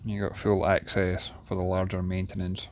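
A man with a Scottish accent, in his thirties, giving a steady, detailed walkthrough of a yacht's interior as a reviewer. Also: deep voice, normal speed, a little hiss or hum. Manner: steady, descriptive, enthusiastic about details. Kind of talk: guided video tour narration. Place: inside a yacht cabin.

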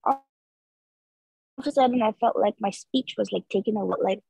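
A woman's voice: medium pitch, normal speed, gravelly, mellow, slightly rough, dry recording. A young woman from Nepal, speaking earnestly as an interview guest over a video call, with lots of filler likes. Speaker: interview guest, street artist. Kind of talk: casual reflective conversation. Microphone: video call laptop mic. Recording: dull video call audio.

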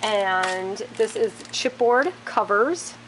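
A woman's voice: mid-range voice, slow, noisy background. A woman hosting a casual product walkthrough in a large warehouse, plainly naming each item one by one while she films from behind the camera. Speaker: store host showing products. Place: large warehouse with wire shelving. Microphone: handheld camera, speaker behind it.

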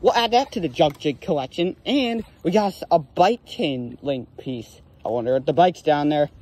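A man's voice: fairly high pitch, moderate pace. A young man vlogging while magnet fishing from a lake dock, exclaiming over each find in casual commentary. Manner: excitable, exclaims over finds. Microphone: handheld phone or body camera.

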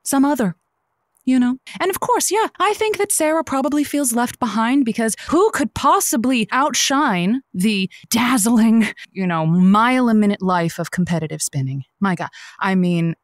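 A woman's voice: on the high side, lively intonation, moderate pace, no room sound, studio quality, lightly acted. A woman playing an earnest, effusive radio advice host in a semi-scripted audio drama, gushing and theatrical. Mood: earnest, dramatic.

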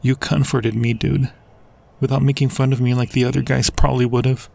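A man's voice: mid-range voice, little pitch movement, normal speed, creaky, warm tone. A young man acting a devoted, anxious friend in love in an intimate ASMR roleplay, speaking earnestly and hesitantly. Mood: vulnerable, pleading.

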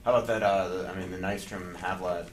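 A man, a sports reporter, asking a coach questions at a press conference in brisk bursts with quick restarts. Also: sits low, steady tone, slight background noise.

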